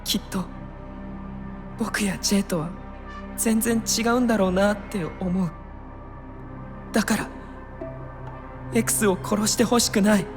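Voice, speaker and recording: A woman playing a gentle young male singer in an audio drama, speaking softly and hesitantly with earnest pleading. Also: medium pitch, fairly even intonation, measured pace, long pauses, music in the background, natural voice.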